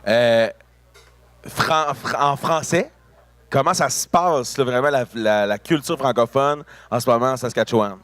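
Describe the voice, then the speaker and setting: A man's voice: medium pitch, unhurried, fairly bright tone, dry recording. Stammering male backstage reporter, dry sound. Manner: hesitant, stumbling over words. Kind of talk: casual interview. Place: backstage at a concert venue.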